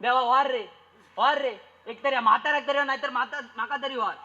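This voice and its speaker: A man's voice: very high voice, fairly even intonation, fast, bright, forceful tone, heavily acted. A man playing a nagging, exasperated daughter-in-law in a comic stage play, scolding and complaining in a Malvani accent. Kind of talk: broad comic stage acting in a dialect play. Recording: dull, lo-fi stage recording.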